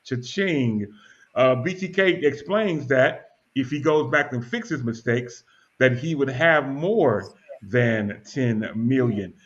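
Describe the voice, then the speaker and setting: A man's voice: medium pitch, slow. A man hosting a casual live talk show, easygoing and chatty, reading out viewer comments and often stumbling with "uh" and repeated words. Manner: easygoing, repeats himself and fills with "uh". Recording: dull lo-fi audio.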